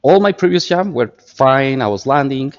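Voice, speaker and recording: A man's voice: mid-range voice, normal speed, dull audio. Colombian-accented man telling stories. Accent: Colombian Spanish. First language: Spanish. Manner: animated storyteller, repeats words while searching for phrasing. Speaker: guest. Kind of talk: casual interview talk.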